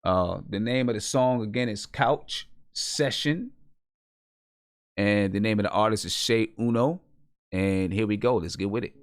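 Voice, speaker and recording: A man's voice: fairly low pitch, unhurried, no room sound. A man hosting a live music review show, chatting casually and informally in a hesitant, searching way.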